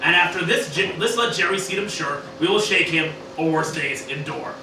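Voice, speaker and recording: A man's voice: a little high, moderate pace, natural voice. A man playing an arrogant, scheming old cartoon scientist grandfather, ranting and swearing through a comedic amateur Shakespeare parody. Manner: ranting, swearing, declamatory, sometimes stumbling over lines. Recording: roomy, distant mic, noisy room.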